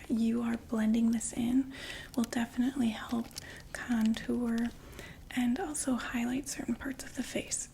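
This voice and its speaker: A woman's voice: fairly high pitch, low-quality recording. Woman whispering as a chatty vampire saleswoman. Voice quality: soft unvoiced whisper. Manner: chatty, gently persuasive sales talk. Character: chatty undead female vampire makeup saleswoman. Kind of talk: ASMR roleplay.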